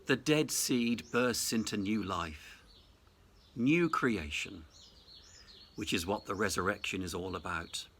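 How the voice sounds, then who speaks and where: Slow-paced clergyman giving a sermon. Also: lowish voice, a bit bright. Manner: measured, deliberate, formal phrasing. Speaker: Anglican cathedral dean giving Easter message. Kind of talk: reflective sermon, prepared address.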